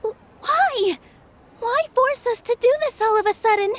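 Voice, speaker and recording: Gentle, encouraging young dancer. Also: female voice, extremely high pitch, measured pace, a little hiss or hum. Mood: shocked. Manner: soothing, reassuring. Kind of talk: video game voice acting.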